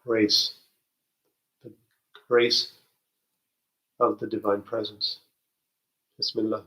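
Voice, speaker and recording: A man leading a guided spiritual meditation, speaking gently with soft halting restarts and long pauses. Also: lowish voice, low-quality recording.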